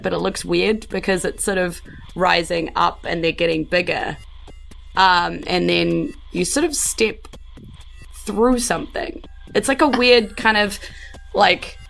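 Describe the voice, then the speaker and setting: Low-voiced New Zealand woman narrating a game. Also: vocal fry, fairly bright tone. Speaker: game master. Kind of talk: improvised tabletop game narration.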